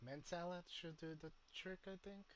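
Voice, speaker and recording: A man's voice: high voice, fairly even intonation, moderate pace, very noisy. A man doing casual live gameplay commentary as a streamer, thinking aloud hesitantly. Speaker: game streamer. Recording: loud game music behind voice.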